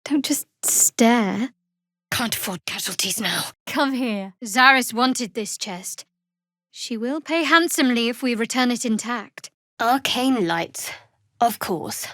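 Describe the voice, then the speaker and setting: A guarded, sardonic young cleric, close and dry-miked. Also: female voice, on the high side, animated, pushed and bright, no echo, heavily acted. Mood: watchful, businesslike. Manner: dry, wry, measured delivery. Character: guarded, sardonic young female cleric adventurer of a dark goddess. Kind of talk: fantasy video game voice acting.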